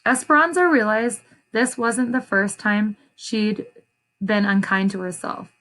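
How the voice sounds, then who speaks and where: A woman reading a children's story aloud as an educator, pausing to ask questions and explain things to young listeners. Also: medium pitch, steady tone, fairly bright tone, low-quality recording.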